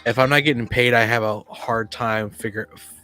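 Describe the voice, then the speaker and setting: A man's voice: medium pitch, steady tone, moderate pace, sharp tone, faint music behind. A man chatting casually as a podcast panelist, often repeating his first words as he starts a sentence. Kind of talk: casual chat.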